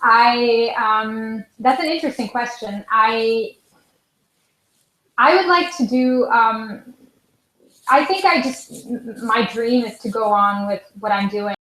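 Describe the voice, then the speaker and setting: A woman's voice: on the high side, takes it slowly, fairly bright tone. Swiss woman, roomy call audio. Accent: Swiss German. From Switzerland. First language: Swiss German. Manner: hesitant, many fillers and restarts. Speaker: guest pianist. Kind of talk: live online interview.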